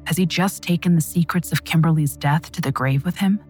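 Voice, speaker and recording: A woman narrating a true crime story in a measured, dramatic scripted style. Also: low voice, a little mellow, soft background music.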